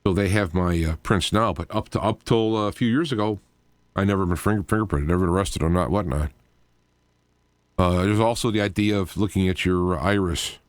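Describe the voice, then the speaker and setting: A man's voice: sits low, brisk, dry recording. A man hosting a live radio show in an informal, rambling monologue, explaining things as he goes with frequent asides.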